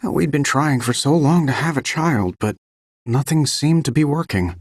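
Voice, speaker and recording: An actor playing an earnest, thoughtful devotee of the planet in a video game, husky and measured in his speech. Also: fairly high pitch, expressive, no echo, clean studio sound. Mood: pained, confiding. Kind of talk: video game character dialogue.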